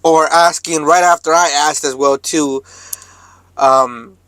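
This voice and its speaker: A man with a bright, forceful, slightly high voice talks off the cuff to his viewers. He rambles in a laid-back, slangy way, like a trucker on his vlog. The sound is close and dry. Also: steady tone, slow, dry recording. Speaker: truck driver vlogger. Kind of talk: casual chat to viewers. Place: cab of a parked truck.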